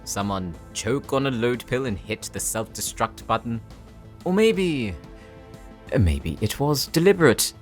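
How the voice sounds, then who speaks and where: A man's voice: medium pitch, very animated, measured pace, soft background music. An actor playing a defiant, cocky captive outlaw in an audio drama, sarcastic and theatrical in his taunts. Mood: sly, taunting. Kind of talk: dramatic audio roleplay acting.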